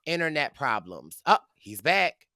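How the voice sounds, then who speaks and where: A man hosts a casual recap show with very animated, swinging intonation. His voice is bright and forward, recorded close and dry. He is chatty and theatrical in delivery. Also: a little high, a little slow, no room sound. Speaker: podcast host.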